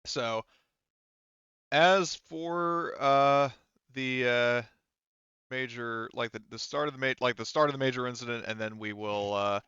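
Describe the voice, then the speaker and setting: A man running a tabletop game as game master, narrating in a hesitant, measured way. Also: mid-range voice, pushed and bright, clean tone, dry recording, lo-fi sound.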